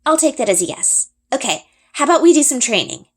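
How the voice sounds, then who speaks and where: An eager young storybook girl, acted by a woman reading aloud, with a secretive streak. Her voice sits on the high side and swings widely as she coaxes and insists with bright emphasis. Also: sing-song, fairly quick, husky, full character voice. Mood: coaxing.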